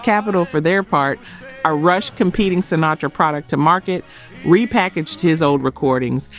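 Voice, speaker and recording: Man, slightly high voice, scripted documentary narrator, punchy short phrases, music underneath. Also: normal speed, fairly bright tone. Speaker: narrator of a music history video. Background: background music.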